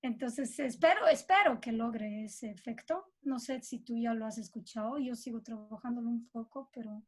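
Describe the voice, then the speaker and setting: High-voiced Bolivian woman in conversation. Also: normal speed. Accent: Bolivian Spanish. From Cochabamba, Bolivia. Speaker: guest composer. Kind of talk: conversational interview about own work.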